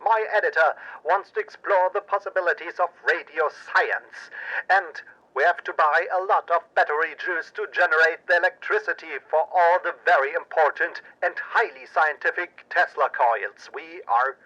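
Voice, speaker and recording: A man's voice: a little high, moderate pace, bright, forceful tone, lo-fi sound. A man playing an earnest, paranoid radio news anchor, narrating pulp drama in a dramatic, portentous manner. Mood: solemn, suspicious.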